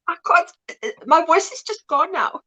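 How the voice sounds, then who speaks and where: A woman hosting a casual livestream chat in an animated, exclamatory way over a video call. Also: very high-pitched, slow pace. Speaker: livestream host.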